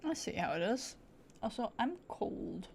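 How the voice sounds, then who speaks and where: A woman with a fairly high voice chats casually over a game she is streaming. She is unhurried and playful, dropping cutesy word play into short reactions. Faint noise and game ambience sit behind her. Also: very animated, creaky, darkish tone. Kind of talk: casual live gameplay commentary.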